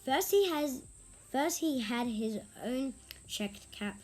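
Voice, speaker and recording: An informal, youthful-sounding storyteller hosting a picture book read-aloud, sometimes halting and repeating words. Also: high-pitched, lively intonation, a little mellow, soft background music. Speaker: story reader and host.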